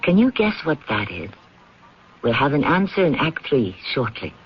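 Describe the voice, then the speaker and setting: A man's voice: medium pitch, very animated, normal speed, slight background noise. A man hosting a radio drama with wry, theatrical scripted narration. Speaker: host and narrator. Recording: muffled old radio broadcast. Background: music.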